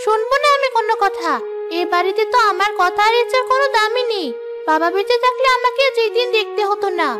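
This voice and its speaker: Very high-pitched little schoolgirl. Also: quick pace, loud music behind the voice. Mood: hurt, defiant. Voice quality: very high, childlike. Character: young schoolgirl daughter, hurt, earnest and book-loving. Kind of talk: cartoon voice acting.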